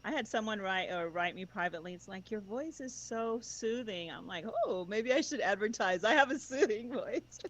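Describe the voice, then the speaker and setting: A woman's voice: medium pitch, animated, normal speed, hardly any pauses, a bit bright. A woman guest chatting casually about tutoring, enthusiastic, laughing often and using many fillers. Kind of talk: casual conversational chat.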